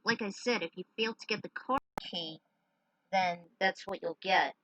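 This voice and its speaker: A woman's voice: mid-range voice, normal speed, a bit bright, no echo, rough recording. A woman narrating a story, slipping into informal, chatty asides in a casual way. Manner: informal, chatty commentary between passages. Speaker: fan fiction narrator.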